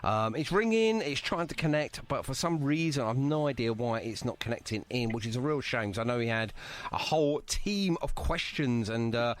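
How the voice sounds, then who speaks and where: A man's voice: medium pitch, lively intonation, normal speed. A man hosting a casual music interview podcast, chatty and self-deprecating in manner. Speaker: podcast host interviewing a band.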